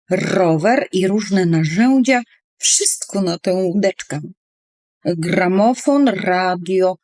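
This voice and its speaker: A woman's voice: on the low side, darkish tone, no echo, clean studio sound. A woman storyteller reading a children's poem expressively, with playful, dramatic emphasis on words.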